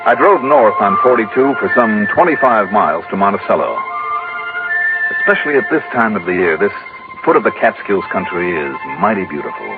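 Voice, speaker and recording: A wry hard-boiled investigator. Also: male voice, on the low side, big pitch swings, brisk. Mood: musing. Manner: wisecracking, easygoing. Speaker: narrator and lead. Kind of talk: 1950s radio drama acting. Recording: phone-like old radio broadcast with music.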